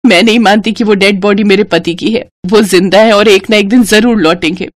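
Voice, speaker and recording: A woman's voice: mid-range voice, steady tone, brisk, lightly acted. Woman acting an earnest, steadfast young wife. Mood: defiant.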